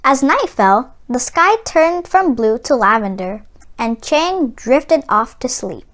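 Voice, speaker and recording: A woman reading a children's storybook aloud in a careful, expressive storytelling delivery. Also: sits high, slow. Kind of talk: expressive scripted storybook narration.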